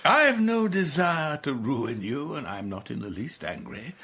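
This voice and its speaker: A man's voice: on the high side, big pitch swings, unhurried, bright, forceful tone, slightly rough, heavily acted. An actor playing a roguish older English aristocrat, a grasping father who speaks with jovial pomposity in a radio drama. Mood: coolly threatening. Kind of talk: British radio drama acting.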